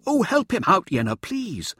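A man's voice: high-pitched, wildly swooping pitch, moderate pace, a bit bright, slightly rough, no echo, clean studio sound, pitched above the performer's own voice, heavily acted. A man voicing an eager, showboating male scientist in an audiobook, theatrical, pressing and didactic in his delivery. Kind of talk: audiobook character dialogue.

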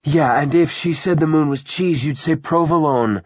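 A man's voice: a little high, normal speed, no room sound, studio quality, voice pushed up, close to an everyday voice. A man voicing a hostile, foul-mouthed woman of about forty in an audiobook, with a cutting manner. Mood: scornful. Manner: scornful, cutting.

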